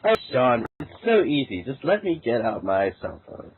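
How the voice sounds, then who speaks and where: A man does an amateur script read with very lively intonation at a medium pitch. The recording is thin and narrow, with faint noise and clicks. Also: moderate pace. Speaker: amateur reader voicing a character in a fan fiction. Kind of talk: amateur script reading mixed with casual chat. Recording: tinny, noisy low-grade voice chat line.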